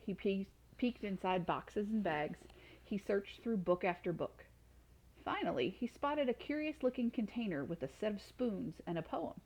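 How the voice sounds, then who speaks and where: A woman's voice: mid-range voice, expressive, normal speed, darkish tone, low-quality recording. A woman reading a children's storybook aloud as a storytime reader.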